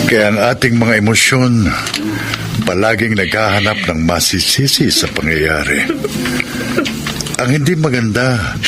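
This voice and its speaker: An actor playing a grave, reluctant retired doctor in a Filipino radio drama, speaking in a measured way. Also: male voice, on the low side. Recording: radio drama with music underneath.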